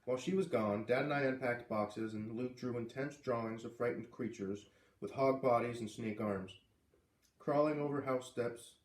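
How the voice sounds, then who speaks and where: A man reading a story aloud with expressive narration and casual asides. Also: mid-range voice, moderate pace. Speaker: storybook reader. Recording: echoey room.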